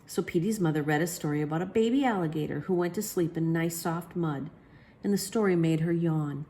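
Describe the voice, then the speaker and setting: A woman storyteller with a slightly low voice reads a bedtime story to children. Her delivery is expressive, and she acts out the lines of the characters. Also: a little fast, slight background noise. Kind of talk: children's picture book read aloud.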